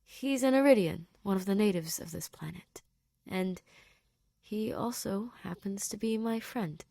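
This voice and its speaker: A woman voicing a gentle, earnest male scientist in audio fiction, soothing and slightly rambling as he reassures. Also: medium pitch, a little slow, creaky, dry recording, studio quality. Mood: gentle, explaining. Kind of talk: character dialogue in audio fiction.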